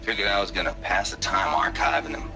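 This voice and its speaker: An actor playing a cynical, wisecracking scientist in a video game, with a folksy, sardonic drawl. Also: male voice, deep voice, fairly even intonation, fast. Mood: teasing. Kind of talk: video game dramatic acting. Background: music.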